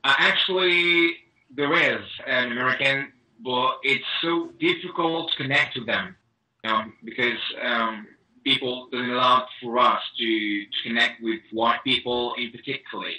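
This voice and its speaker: A man's voice: medium pitch, normal speed, pushed and bright, poor audio. A man speaking earnestly over a video call, often saying you know. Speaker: caller.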